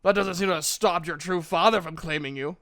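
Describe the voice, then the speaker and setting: A man's voice: fairly high pitch, fairly quick, a bit bright, lightly acted. A man voicing a proud, sardonic male elf with a fierce temper and a commanding way of talking, in an audiobook.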